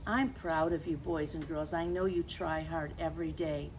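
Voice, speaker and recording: A woman hosting a children's story time, reading aloud in a gentle, teacherly way and speaking directly to young listeners. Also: low-pitched, moderate pace, slight background noise. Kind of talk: picture book read-aloud for children.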